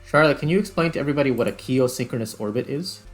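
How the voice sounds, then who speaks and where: A man's voice: medium pitch, animated, racing. Male video game host, casual chatty commentary, music behind. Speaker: host of a gaming video series.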